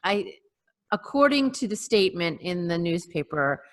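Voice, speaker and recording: A woman with a slightly low voice talks casually on a panel over a video call. She is agreeable and chatty as she explains things. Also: sing-song, moderate pace. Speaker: podcast panelist.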